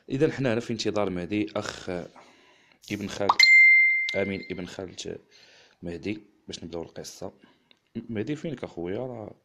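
A man with a Moroccan accent hosting a live stream, chatting quickly with viewers and a guest. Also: on the low side, gravelly. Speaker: livestream host. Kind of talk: casual live chat and storytelling.

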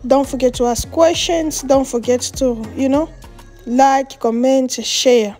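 Woman with a French or Swahili first language, chatty cooking vlog, high voice, mellow tone, faint music behind. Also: fairly even intonation, takes it slowly. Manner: chatty, laughs often, searches for words. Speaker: cooking vlogger. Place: outdoors on a patio and in a home kitchen.

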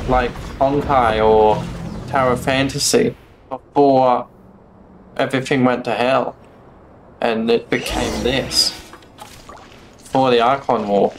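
A man's voice: medium pitch, steady tone, slow, background noise. A man in his twenties giving casual live gameplay commentary, musing aloud as he plays. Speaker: game streamer. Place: small room at home. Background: game music.

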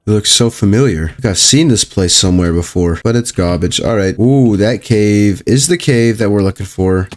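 A young man giving casual, joking commentary while playing a video game, with a calm voice and chatty asides to his viewers. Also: fairly low pitch, normal speed, few pauses. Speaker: gaming YouTuber.

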